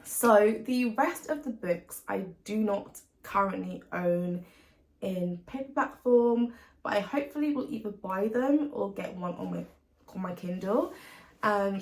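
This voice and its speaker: A woman's voice: medium pitch, roomy sound, low-quality recording. A British woman talking casually and chattily as a book vlogger, informal and given to emphatic repetition. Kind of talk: casual chat to camera about reading plans.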